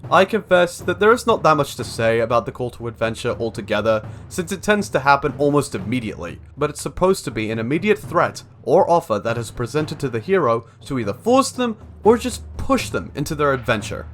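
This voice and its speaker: A man's voice: mid-range voice, very animated, fairly quick, few pauses, fairly bright tone, a little hiss or hum. A young man reading a scripted analytical narration for a video essay.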